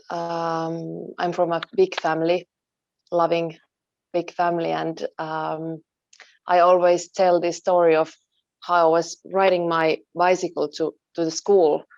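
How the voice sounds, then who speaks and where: A woman from Finland, a native Finnish speaker, being interviewed about her work and talking thoughtfully, pausing and searching for words. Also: fairly low pitch, a little mellow, no room sound, dull audio. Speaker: interview guest, photojournalist. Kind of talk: online interview.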